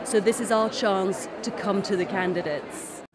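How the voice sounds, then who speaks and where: A woman's voice: mid-range voice, fairly even intonation, unhurried. A woman recruiter giving a spontaneous interview answer at a busy event. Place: busy job fair. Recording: loud crowd in public hall.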